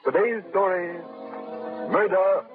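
A man's voice: sits high, fairly even intonation, slow pace, over music. A man announcing a 1930s radio drama in an emphatic, persuasive manner, from a tinny old broadcast.

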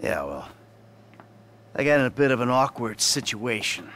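A man's voice: medium pitch, very animated, normal speed, fairly bright tone, slight background noise. An actor playing a defensive, exasperated middle-aged retired criminal and father in a video game, sounding weary and irritable. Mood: defensive, awkward. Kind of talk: video game dramatic acting.

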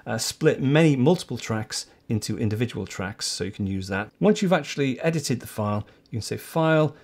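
Older man, lively tutorial host. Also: medium pitch. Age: older, about 60. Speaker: host of a tech tutorial show. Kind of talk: step-by-step software tutorial, presenting to camera. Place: small home studio office, indoors.

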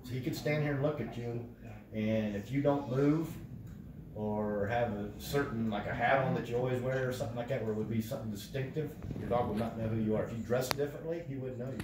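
A man's voice: mid-range voice, fast talker, background noise. Man swapping stories in a roomy living room. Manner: profane, animated retelling with acted-out quotes. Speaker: storyteller. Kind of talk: casual storytelling chat. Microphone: phone across the room. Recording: roomy, distant phone recording, background chatter.

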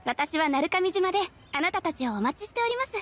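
Very high-voiced polite receptionist. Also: female voice, very animated, fairly bright tone, full character voice. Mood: gently encouraging. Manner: formal, courteous, measured. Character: polite, gentle young female guild receptionist in maid uniform. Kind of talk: anime-style game voice acting. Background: background music.

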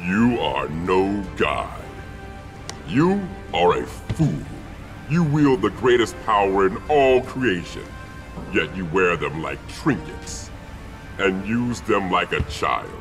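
Deep, gravelly warlord titan. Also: male voice, big pitch swings, slow pace, faint music behind, heavily acted. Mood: scornful defiance. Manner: imperious, weighty. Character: proud, scornful captive warlord titan. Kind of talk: dramatic video game acting.

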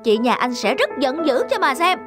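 A woman voicing a scornful, nosy female rabbit neighbour in an animated fairy tale, mocking and incredulous. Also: high voice, normal speed. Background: music.

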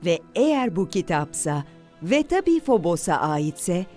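A woman playing a thoughtful, serious young adventurer in an animated series dub, speaking expressively. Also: fairly low pitch, unhurried, faint music behind. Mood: intrigued.

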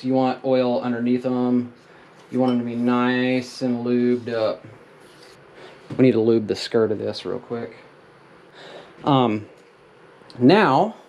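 A man's voice: medium pitch, a little mellow. A man in his thirties, talking casually and rambling with many fillers as he explains hands-on repair work in a small wooden workshop, recorded on the camera mic. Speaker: DIY mechanic vlogger. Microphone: camera mic while working.

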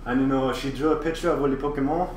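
A crude, self-satisfied French chef, as a man puts him on with a put-on accent, rambling and cursing at a middling pitch. The voice sits a little away from the mic in an echoey kitchen, with faint ambience behind it. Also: fairly even intonation, fast talker, far from the mic, a little hiss or hum, close to an everyday voice. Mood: cocky. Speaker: livestreamer. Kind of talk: casual livestream chat in character.

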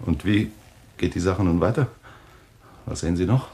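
An actor playing a skeptical, controlling journalist in a German radio thriller, with a deliberate, sardonic, interrogating manner. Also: male voice, low voice, warm tone, slight background noise, natural voice. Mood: tense questioning. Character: skeptical, controlling male journalist, suspicious husband.

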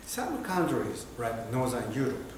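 A man's voice: mid-range voice, big pitch swings, a little slow. A middle-aged man from Japan with a Japanese accent, a Buddhist priest talking to the camera in simple, careful English. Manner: simple, careful English with small grammar slips. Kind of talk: informal video talk to camera. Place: temple hall indoors, standing before an altar. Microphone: camera a few steps away. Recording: very echoey room with clear background noise.

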